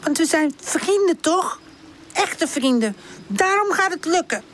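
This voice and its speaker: A woman's voice: very high voice, lively intonation, slight background noise, voice pushed up. A woman voicing a small, eager but timid little boy animal in a children's storybook, childlike and trusting. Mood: trusting, determined. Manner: childlike, halting when scared.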